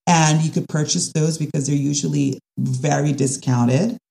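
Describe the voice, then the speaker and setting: Lady guest, kinda gravelly and mellow, thinks out loud with lots of ums. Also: low voice, fairly even intonation, moderate pace. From Caribbean. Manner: thoughtful, with frequent restarts and fillers. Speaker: design and construction specialist guest. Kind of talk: casual interview chat.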